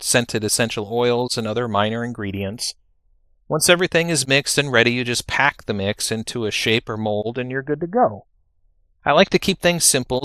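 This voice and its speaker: A man reading a scripted, humorous first-person story in a dryly formal, measured way. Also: medium pitch, fairly bright tone, no room sound, studio quality. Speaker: storyteller, narrator.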